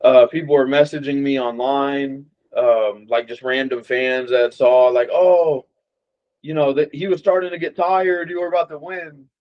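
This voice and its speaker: A man's voice: medium pitch, a little slow. A young man from Las Vegas, a boxer guest, talking casually and rambling with fillers. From Las Vegas, USA. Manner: relaxed, rambling with many fillers. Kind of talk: casual interview chat. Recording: dull lo-fi audio.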